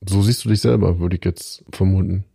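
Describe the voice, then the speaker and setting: A man's voice: sits low, fairly even intonation, a little fast, creaky, mellow, breathy edge, dry recording, studio quality. A man with a Berlin accent co-hosting a podcast in casual chat, blunt and joking, saying whatever comes to mind. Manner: blunt, loose-mouthed, joking. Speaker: podcast co-host.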